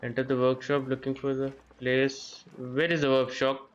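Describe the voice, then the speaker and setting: A man giving casual live gameplay commentary, halting and muttering to himself as he streams. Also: medium pitch, completely flat delivery, muffled recording. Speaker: game streamer. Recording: game music behind voice. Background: game music.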